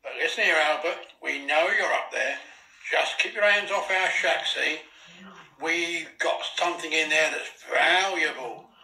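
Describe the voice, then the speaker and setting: Storybook boy bully, played by a woman: sharp, snappy, sneering gang boss, recorded in an echoey room. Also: low voice, a little slow, voice pushed down, heavily acted. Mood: casual menace. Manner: snappy, commanding, slangy rural speech. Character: rough, sneering eldest boy gang leader, bullying and scornful.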